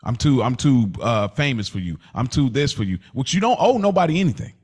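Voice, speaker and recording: A man's voice: mid-range voice, sing-song, fairly quick, a little mellow, husky. A man with a mid-range voice argues his side as a podcast co-host. He is earnest and slangy, doubling back on phrases in a loose, conversational debate. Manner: earnest, argumentative, repeats himself, slangy. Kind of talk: casual debate.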